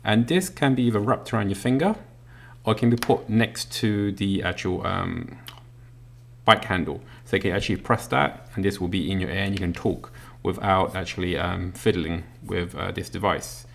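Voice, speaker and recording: Low gravelly man demonstrating a gadget. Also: moderate pace, a bit bright. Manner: casual and explanatory, many ums and uhs; demonstrating a gadget while talking. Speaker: product reviewer. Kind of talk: unboxing walkthrough.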